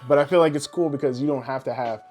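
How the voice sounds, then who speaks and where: A man's voice: medium pitch, quick pace, darkish tone, soft background music. A man co-hosting a podcast, chatting casually and informally, often explaining things through examples. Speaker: podcast co-host.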